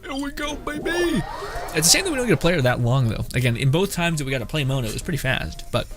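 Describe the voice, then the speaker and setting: A man giving casual, reactive gameplay commentary as a streamer, excitable, slangy and quick to swear. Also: a little high. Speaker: gaming streamer. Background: game music.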